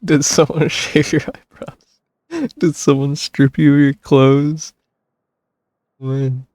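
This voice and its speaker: A man's voice: fairly high pitch, steady tone, slow pace, darkish tone, no echo, clean studio sound. A man streaming a video game, making wry, chuckling asides in casual commentary.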